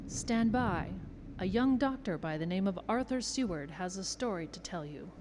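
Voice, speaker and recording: A woman's voice: medium pitch, animated, moderate pace, noisy background. A woman announcer delivering a theatrical, scripted introduction to a radio drama. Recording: clear music behind.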